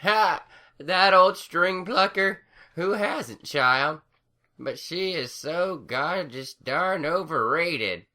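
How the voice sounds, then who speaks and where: Casting: cackling old grandmother mare, high and cracking, slow, voiced by a man. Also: lively intonation, bright, forceful tone, exaggerated voice. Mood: folksy. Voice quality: cracking old-lady voice with laughs. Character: elderly farm mare grandmother, folksy, fond.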